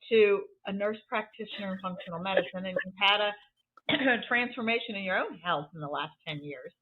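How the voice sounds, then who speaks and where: A woman's voice: medium pitch, normal speed, husky. A woman in her sixties hosting a podcast, upbeat and chatty, rambling a little as she talks. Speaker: podcast host. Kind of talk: conversational podcast interview. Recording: tinny phone-like line.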